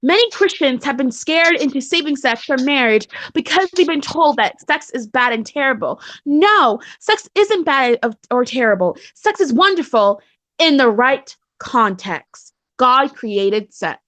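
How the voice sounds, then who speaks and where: A woman's voice: sits high, expressive, measured pace, a bit bright, poor audio. A woman in casual conversation who reads blog posts aloud and often repeats and restarts her phrases as co-host. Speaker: co-host and article reader.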